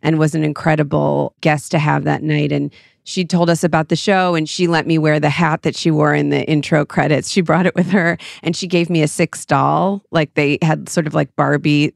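A woman's voice: deep voice, fairly even intonation, creaky, dry recording, clean studio sound. Giggly woman co-hosting a podcast. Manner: gushing, giggly, quick asides. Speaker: podcast co-host. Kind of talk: casual chat.

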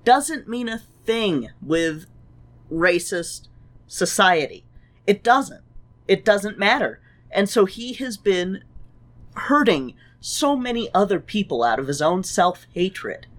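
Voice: mid-range voice, lively intonation, takes it slowly. A co-host in a casual discussion of a show, reflective and quoting favorite lines with feeling. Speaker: podcast co-host reviewing a show.

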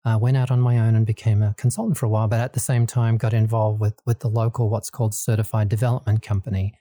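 A man in his fifties from Australia with an Australian accent, talking in a relaxed, unhurried way as a guest in an interview, with ums and restarts. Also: on the low side, no room sound. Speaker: guest, small business lender. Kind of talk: casual interview talk.

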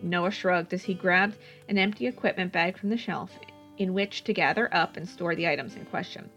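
A woman's voice: lowish voice, normal speed, fairly bright tone, highs cut off, soft background music. A woman reading a story aloud as a scripted audiobook-style narration. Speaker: guest story reader.

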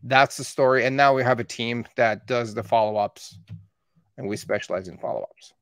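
A man in his thirties, a marketing agency owner from Canada who was born in Iran, telling his story in steady, matter-of-fact conversation. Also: medium pitch, normal speed, smooth, no room sound, clean studio sound. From born in Iran, raised in Canada. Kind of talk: casual conversation.

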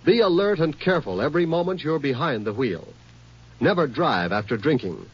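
A man's voice: a little high, very animated, brisk, breathy edge. A man reading a scripted public safety announcement on 1950s radio in an earnest, emphatic way. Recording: muffled old 1950s radio recording.

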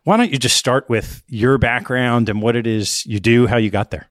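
A man's voice: mid-range voice, normal speed, no echo, studio quality. A man hosting an interview podcast, asking questions in a conversational style.

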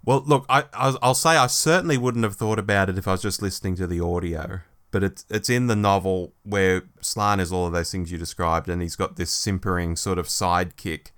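A man's voice: low voice, brisk, few pauses, fairly bright tone. A man co-hosting a podcast, talking casually as he compares and discusses stories. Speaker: podcast co-host. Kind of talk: casual book review discussion.

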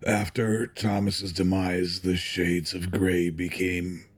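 An actor playing a sickly, wistful old corporate chairman with a frail husky voice and halting, reflective delivery in an audio drama. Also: sits low, level tone, full character voice. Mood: reflective, regretful. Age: older. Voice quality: husky, frail, with throat clearing and coughs.

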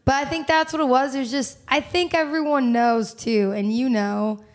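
A young woman speaks with a somewhat high voice, telling her story openly and with animation. She laughs easily as she talks through a casual interview. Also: normal speed. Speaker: singer-songwriter guest.

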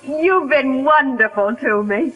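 Shy young singer girl, soft wistful high voice, thin tinny old radio. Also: animated, slow, full character voice. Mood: grateful. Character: shy, grateful young female nightclub singer. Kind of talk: 1940s radio drama acting.

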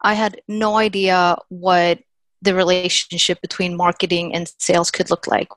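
A woman's voice: fairly low pitch, level tone, moderate pace, fairly bright tone, no room sound. A woman, chatting as a podcast guest in an upbeat, filler-heavy way. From Sweden. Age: 30s. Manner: chatty, upbeat, many "like" and "you know" fillers. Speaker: podcast guest, sales account executive. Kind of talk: casual interview chat.

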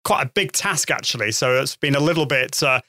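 A man hosting a live-stream podcast, chatty and lively, often restarting phrases and laughing. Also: medium pitch, a little fast, dry recording, clean studio sound. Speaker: podcast host. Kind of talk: casual live-stream interview.

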